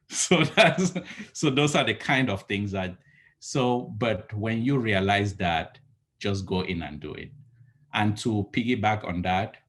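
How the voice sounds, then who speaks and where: Deep-voiced man giving advice on a video call. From Cameroon. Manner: earnest, advice-giving, restarts often. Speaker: entrepreneur guest. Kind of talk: casual video call interview. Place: home living room, indoors. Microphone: laptop webcam on a video call.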